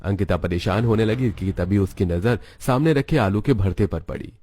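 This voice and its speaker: Low-voiced man narrating a children's story. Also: moderate pace, dark tone.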